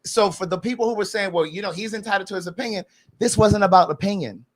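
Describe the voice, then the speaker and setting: Man nearing fifty, fairly high-pitched, emphatic podcast host. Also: fast talker. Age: 40s. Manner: emphatic, repeats points, laughs heartily. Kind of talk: casual opinionated chat.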